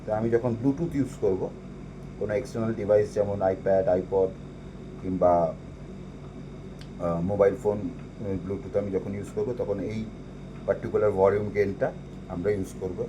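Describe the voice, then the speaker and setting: A man's voice: lowish voice, fairly even intonation, normal speed, pauses often, background noise. A man with a Bengali accent giving an informal step-by-step equipment walkthrough, explaining and mixing in English technical terms. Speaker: presenter demonstrating audio equipment.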